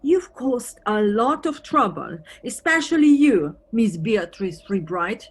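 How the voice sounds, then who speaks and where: A woman's voice: a little high, very animated, slow pace, breathy edge, exaggerated voice. A woman playing an eccentric, playful, officious European policewoman in an audio play, theatrical and teasing, with German phrases thrown in.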